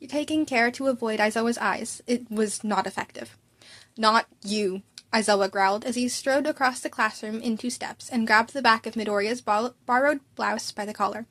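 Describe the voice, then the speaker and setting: A woman reading fiction aloud as an amateur narrator, informal and sometimes stumbling over lines. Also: on the high side. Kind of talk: story narration with character dialogue.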